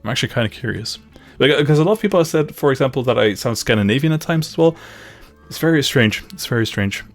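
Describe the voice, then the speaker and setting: A man's voice: medium pitch, animated, fast. A man from Luxembourg with a Flemish-leaning Dutch accent in English, chatting casually to a livestream in a giggly, rambling way, often repeating his phrases. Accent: Belgian-Dutch (Flemish-leaning). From Luxembourg, of Dutch parents. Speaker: livestreamer, VTuber host. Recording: music playing behind the voice. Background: music.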